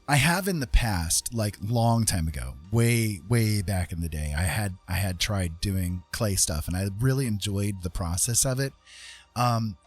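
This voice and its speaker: A man's voice: fairly low pitch, expressive, unhurried, breathy edge, soft background music. A man hosting a casual live art stream, chatting to viewers in a rambling, hesitant way and often repeating himself. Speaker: live stream host and artist.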